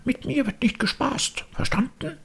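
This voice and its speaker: A man's voice: extremely high pitch, normal speed, gravelly, a bit bright, slightly rough, whispered, voice pushed up, heavily acted. A man voicing a stubborn wooden puppet boy, cocky, defiant and grumbling, in a storybook reading. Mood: boastful grumbling.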